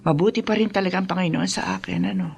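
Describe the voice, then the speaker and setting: A woman playing a feisty, protective elderly mother and grandmother in a radio drama, expressive and motherly. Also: lowish voice, fast, gravelly, background noise. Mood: proud, dismissive. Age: older.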